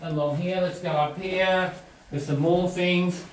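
A man's voice: high-pitched, fairly even intonation, a little slow, crowd noise. Enthusiastic older Australian man, echoey house. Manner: enthusiastic, rambling, self-joking. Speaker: auctioneer. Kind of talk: casual walkthrough chat. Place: large house, indoors. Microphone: handheld phone camera. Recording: echoey rooms, faint noise.

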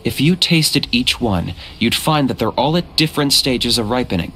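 A man's voice: mid-range voice, lively intonation, normal speed, bright, forceful tone, a little hiss or hum. A man playing a cool young hunter in a video game, terse, matter-of-fact and unruffled. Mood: calm, reflective.

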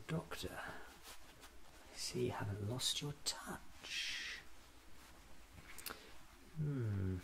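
A man in his thirties doing a soft-spoken ASMR roleplay as a fussy, eccentric doctor musing to himself, often slipping into a whisper. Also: fairly low pitch. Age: 30s. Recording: clearly audible background noise.

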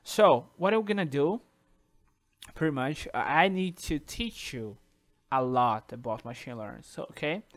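Male tutor, native Portuguese speaker, casual halting delivery with frequent "okay?", mid-high voice. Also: lively intonation. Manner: halting, many restarts and "uh", tags sentences with "okay?". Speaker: programming tutor. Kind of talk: casual screen-recorded coding tutorial.

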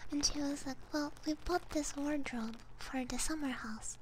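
A woman whispering hushed, casual ASMR chat to viewers, halting and close on a binaural microphone. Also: high-pitched. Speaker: ASMR streamer. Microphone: close binaural ear microphone. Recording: clear background noise.

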